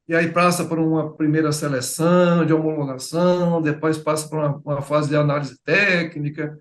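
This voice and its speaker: A middle-aged man with a Bahian accent chats as a guest on an interview show. His voice sits fairly high, and he talks in a loose way, full of fillers and repeated words. The room around him sounds echoey. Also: steady tone, moderate pace. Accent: Bahian Portuguese. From Bahia, Brazil. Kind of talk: casual interview chat.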